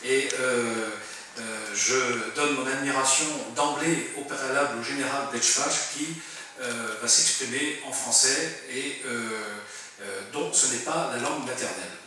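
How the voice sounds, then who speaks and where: A man's voice: medium pitch, measured pace, background noise. A middle-aged man, a military officer, giving a lecture, full of filler sounds and restarts. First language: French. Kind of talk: lecture presentation. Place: conference room. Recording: very echoey room, mic far off.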